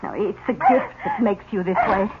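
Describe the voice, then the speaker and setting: An actress playing a gentle, credulous older aunt in an old-time radio drama, soothing and earnest. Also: female voice, medium pitch, wildly swooping pitch, moderate pace. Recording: tinny noisy old radio recording.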